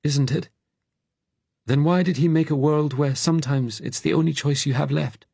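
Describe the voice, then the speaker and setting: A frightened man on the run, voiced by a male narrator in an audiobook drama. The voice is pushed up high, shaken and pleading, with an earnest, worn-out edge. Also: steady tone, normal speed, creaky, darkish tone, slightly rough, dry recording. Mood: panicked, bitter. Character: frightened, exhausted fugitive man, trembling and earnest.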